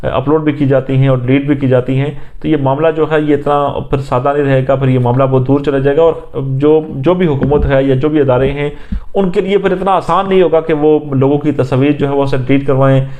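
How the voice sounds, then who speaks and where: A man with a Pakistani accent giving unscripted political news commentary, recounting things in a halting, repetitive way with many fillers. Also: mid-range voice, steady tone, warm tone.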